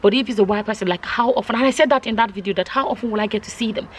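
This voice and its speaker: A woman's voice: mid-range voice, rapid-fire. Female vlogger, mid-range voice, informal explanatory delivery to an audience, light background noise. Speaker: vlogger introducing a recorded conversation. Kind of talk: casual explanatory talk to viewers. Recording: noticeable ambient background noise.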